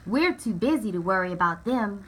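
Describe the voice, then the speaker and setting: A woman's voice: medium pitch, animated, moderate pace, slightly rough, pitched below the performer's own voice. A woman voicing a plucky, determined young girl inventor in a children's storybook. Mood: calm, resolute.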